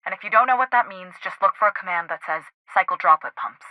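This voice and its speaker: An actress playing a capable ship engineer giving matter-of-fact, wry instructions over a radio link in a video game. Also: female voice, mid-range voice, fast talker, no room sound. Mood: relieved, instructing. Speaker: game character voice. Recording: dull, band-limited radio comms.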